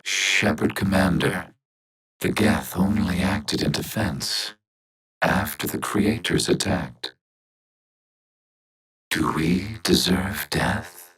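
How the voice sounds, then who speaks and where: A man playing a calm, logical robot intelligence in a video game, speaking in a formal, precise, unemotional way through a synthetic filter. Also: lowish voice, low-quality recording. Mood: slow, grave.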